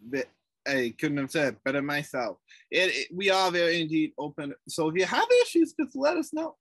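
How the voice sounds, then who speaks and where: Man co-host with a high voice, emphatic and agreeable, dry close sound. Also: big pitch swings, moderate pace, fairly bright tone, dry recording. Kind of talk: casual chat on a call.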